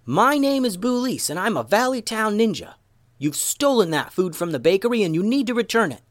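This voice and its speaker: A man's voice: medium pitch, big pitch swings, fairly quick, no room sound, clean studio sound, lightly acted. A man voicing a bold, earnest female ninja leader in a children's story, speaking emphatically and with command. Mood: bold demand.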